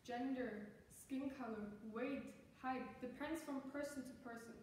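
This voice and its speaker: A fifteen-year-old girl from Austria, giving an earnest, measured competition speech that switches between German and English. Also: high-pitched, steady tone, a little mellow, slight background noise. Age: teens. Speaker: student speech contestant. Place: large echoey classroom. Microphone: far camera. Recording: echoey classroom, mic far off.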